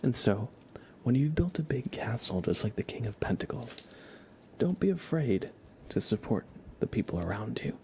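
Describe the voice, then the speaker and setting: A man hosting an ASMR livestream in a soft, hushed voice that often nears a whisper, chatting and reading in a laid-back, gently joking way with halting pauses. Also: medium pitch, very animated. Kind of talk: ASMR, casual chat and tarot reading for viewers.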